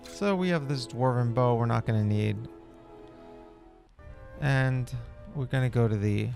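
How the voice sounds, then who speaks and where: A laid-back man narrates his gameplay in a casual, rambling way. His voice has a gravelly fry, and music plays softly behind him. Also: mid-range voice, measured pace. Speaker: gameplay video host. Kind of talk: casual in-character gameplay commentary.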